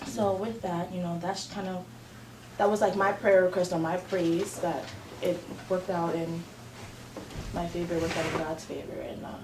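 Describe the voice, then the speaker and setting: A woman's voice: on the low side, fairly even intonation, moderate pace. A woman in her twenties facilitating a student group meeting, earnest and prayerful, with many fillers. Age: 20s. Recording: echoey room, distant mic, background noise.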